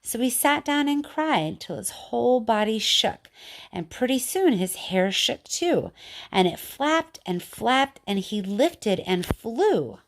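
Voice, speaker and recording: A woman's voice: on the high side, slow. Chatty woman reading poems for kids. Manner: informal, chatty, expressive when reading. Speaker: teacher reading poems to children. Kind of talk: read-aloud of children's poetry with casual commentary.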